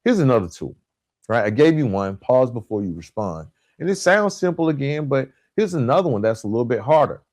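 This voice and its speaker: Male podcast host, mid-pitched, self-help monologue, emphatic and deliberate delivery, very dry sound. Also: sing-song, darkish tone, dry recording. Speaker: podcast host and relationship coach.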